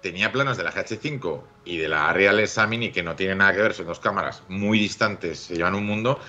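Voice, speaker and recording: A man's voice: low-pitched, a little fast, bright, forceful tone, muffled recording. A middle-aged man with an accent from Spain, talking emphatically in a casual tech discussion on a video call. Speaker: livestream panelist. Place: indoors at home, video call.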